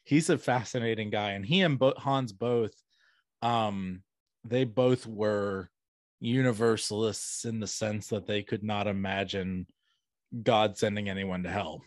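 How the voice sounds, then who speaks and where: A man's voice: on the low side, fairly even intonation, unhurried, no echo. A middle-aged man speaking as a podcast host in a casual, enthusiastic, rambling conversation, close on a studio microphone. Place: small home room, video call. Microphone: close studio mic on boom arm.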